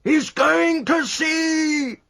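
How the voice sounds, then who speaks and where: A man voicing a furious middle-aged gentleman who shouts and curses at the men on the quay. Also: extremely high pitch, extremely slow, vocal fry, voice pushed up, full character voice. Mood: furious shouting. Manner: shouting, cursing.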